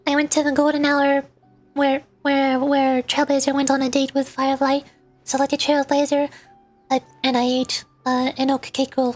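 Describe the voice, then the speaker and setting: A woman with a notably high voice chats to her livestream viewers. She talks in a loose, rambling, playful way, as a gaming host does. Faint music plays behind her. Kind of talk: casual chat to stream viewers while gaming. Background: background music.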